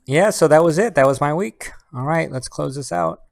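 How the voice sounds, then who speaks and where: A man's voice: a little high, expressive, moderate pace, vocal fry, dry recording, studio quality. A man giving a casual personal vlog update in a rambling, conversational way. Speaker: vlogger; Air Force master sergeant in knowledge management.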